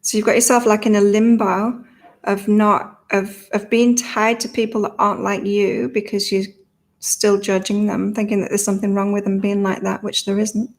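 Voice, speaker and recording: A woman hosts a relaxed online reading session with a slight morning croak in her voice. She chats, laughs often and reads viewers' comments aloud before turning to the cards. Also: medium pitch, moderate pace. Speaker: psychic reader and channel host. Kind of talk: casual livestream chat with card readings.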